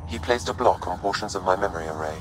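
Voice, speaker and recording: An actor playing a courteous starship artificial intelligence in a video game, measured, formal and unemotional. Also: male voice, low-pitched, over music, natural voice. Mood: calm, explanatory. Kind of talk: video game dialogue acting.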